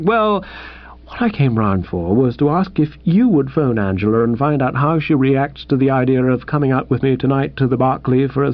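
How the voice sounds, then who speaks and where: A man voicing a sheepish, flustered young gentleman who hesitates and stumbles through his words. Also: fairly high pitch, pitched above the performer's own voice. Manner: hesitant, stammering, full of fillers. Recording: slightly dull lo-fi recording.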